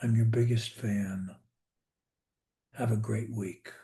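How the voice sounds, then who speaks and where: A man's voice: lowish voice, fairly even intonation, takes it slowly, dark tone. A man who coaches the group, speaking casually on a video call and heaping praise on the members. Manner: effusive and full of praise. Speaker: speech coach.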